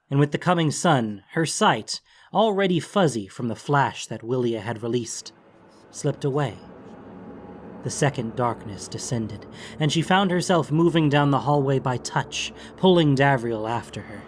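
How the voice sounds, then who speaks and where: A man giving measured, theatrical narration of a fantasy audiobook. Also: mid-range voice, lively intonation, slight background noise.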